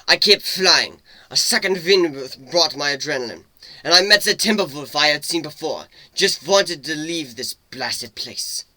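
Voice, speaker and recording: A man's voice: a little high, animated, measured pace, sharp tone, dry recording, exaggerated voice, rough recording. A man voicing a battle-worn older mechanical pony, bitter, intense and curt, in a story reading. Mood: frantic, driven. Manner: intense, angry, curt, swearing.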